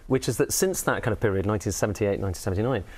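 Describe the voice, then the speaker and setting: A low-voiced man speaks fast on a political panel. He explains economics thoughtfully, qualifying each point as he goes. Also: a little mellow, husky. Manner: thoughtful, hedging, many "kind of" and "I mean". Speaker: economics commentator. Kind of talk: panel debate.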